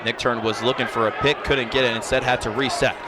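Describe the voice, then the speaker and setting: A man's voice: medium pitch, fairly even intonation, quick pace. A man doing live lacrosse play-by-play on radio as a sportscaster, describing the action urgently. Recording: very loud crowd and arena noise. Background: loud game crowd.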